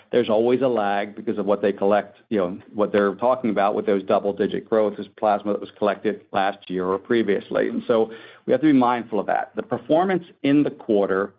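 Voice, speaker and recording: A man speaking as a company executive, answering analysts on an earnings call in a measured, careful corporate manner. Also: fairly low pitch. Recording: dull, lo-fi conference call audio.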